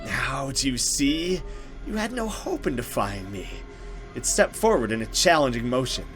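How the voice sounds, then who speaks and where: A man hosting a let's play, reading a horror visual novel aloud with casual commentary. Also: medium pitch.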